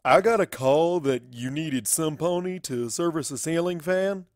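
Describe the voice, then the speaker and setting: A man's voice: on the high side, unhurried, no room sound, clean studio sound, close to an everyday voice. A man voicing a plain, polite male pegasus repairman in a cartoon fan fiction reading. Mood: polite, matter-of-fact.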